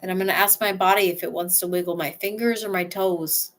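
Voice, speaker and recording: Soft-spoken woman guiding a relaxation exercise. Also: on the low side, steady tone. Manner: slow, soft, first-person self-guiding with sighs. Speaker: somatic exercise guide.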